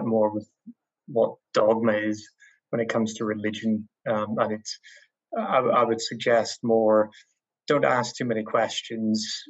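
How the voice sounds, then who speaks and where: A man's voice: on the low side, husky, muffled recording. An Irish man thinking aloud in a casual discussion, hesitant and full of fillers. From Ireland. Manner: thoughtful, hesitant with many fillers. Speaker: guest.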